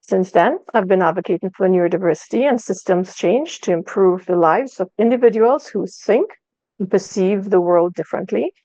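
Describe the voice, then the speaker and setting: A woman from Canada giving a measured, formal introduction on a panel. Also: mid-range voice, dark tone, dry recording, highs cut off.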